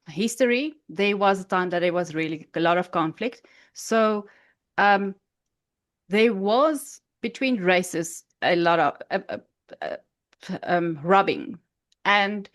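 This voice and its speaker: A woman from South Africa with an Afrikaans accent, a podcast guest telling her life story haltingly, with many restarts. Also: mid-range voice. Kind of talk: personal story in an interview.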